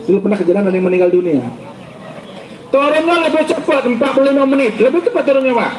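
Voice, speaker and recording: Brief: middle-aged male preacher, very high voice, playful quizzing style, echoey noisy hall. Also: expressive, fast, crowd noise. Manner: joking, quizzes the audience. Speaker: ustaz. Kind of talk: humorous sermon to school students. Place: school event hall. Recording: echoey hall, background noise and traffic.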